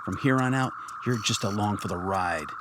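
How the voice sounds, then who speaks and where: A man's voice: on the low side, moderate pace, very noisy, close to an everyday voice. An actor playing a ruthless male alien invasion soldier in a radio drama, stern, clipped and commanding. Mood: stern, accusing.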